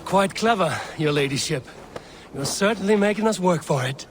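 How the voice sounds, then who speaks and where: A confident Viking raider for a video game, pitched somewhat high. He is sardonic and taunting but firm, with faint ambient noise behind him. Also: animated, normal speed. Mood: mocking. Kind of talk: dramatic video game acting.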